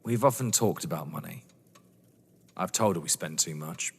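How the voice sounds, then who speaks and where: An actor as defiant young lover, echoey. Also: male voice, deep voice, expressive, moderate pace, slightly rough, full character voice. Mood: subdued. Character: defiant young male lover, proud and guarded. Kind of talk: theatrical audio drama dialogue.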